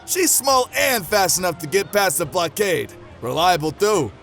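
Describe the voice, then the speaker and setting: A man's voice: high voice, lively intonation, a little slow, vocal fry, fairly bright tone, faint music behind, heavily acted. A man voicing a level-headed, wry goblin leader with a smug, folksy manner in a fan audio drama. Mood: smug, assured.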